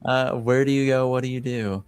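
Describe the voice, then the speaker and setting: A man's voice: mid-range voice, fairly even intonation, moderate pace, darkish tone, clean tone. A man guiding a tabletop roleplaying game as game master, casual and amused.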